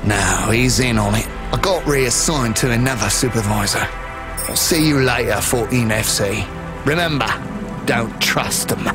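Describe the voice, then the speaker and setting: A man's voice: medium pitch, measured pace, lightly acted. An actor playing a paranoid, foul-mouthed male delivery driver in a video game, rambling and agitated. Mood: wary, conspiratorial. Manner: rambling, agitated, swearing conspiracy talk. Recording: loud music over the voice. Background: loud music, vehicle noise.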